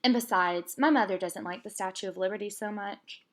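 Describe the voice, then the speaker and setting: A woman's voice: medium pitch, brisk, close to an everyday voice. A woman voicing an earnest young immigrant girl in a read-aloud storybook, eager and insistent.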